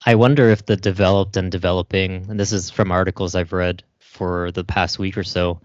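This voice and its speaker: A man hosting a casual podcast, speaking in a measured way and often searching for words. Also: deep voice, flat delivery, no echo. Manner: measured, searching for words, frequent "you know". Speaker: podcast host. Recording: dry, dull lo-fi sound.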